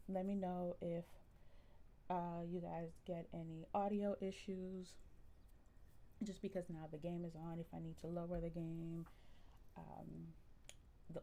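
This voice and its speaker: A woman with a generally soft voice chatting casually with viewers on a live stream, relaxed and full of ums. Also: lowish voice, creaky. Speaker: livestreamer.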